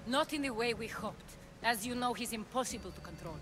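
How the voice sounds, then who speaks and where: A woman performs an ambitious female visionary from a historical video game. Her fairly high voice is composed and deliberate, persuading with earnest conviction. Music plays clearly behind her. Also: natural voice. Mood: confiding. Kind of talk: dramatic video game cutscene acting.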